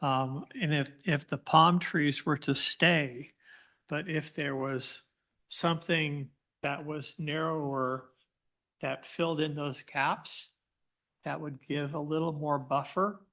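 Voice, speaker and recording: A man's voice: on the high side, steady tone, slow, pushed and bright. A man on a planning commission who offers tentative suggestions at a public meeting.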